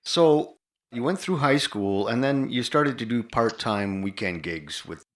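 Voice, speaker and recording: A man's voice: medium pitch, expressive, unhurried. An older man hosting a conversational interview. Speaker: podcast host interviewer.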